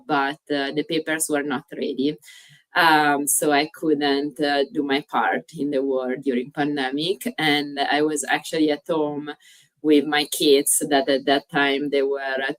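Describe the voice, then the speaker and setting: A low-voiced woman in her thirties speaks with an Italian accent, sounding a little distant from the microphone. She tells her story as an interview guest, stopping often on "uh" and phrasing things like a non-native speaker. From Italy. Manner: frequent "uh" pauses, slightly non-native grammar. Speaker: guest, fitness coach. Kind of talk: casual interview chat.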